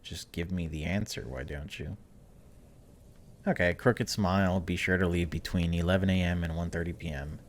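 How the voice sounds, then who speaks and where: A man's voice: sits low, steady tone, moderate pace, a little hiss or hum. A man hosting a gaming video, reading story text aloud dramatically and breaking off for casual, wry asides.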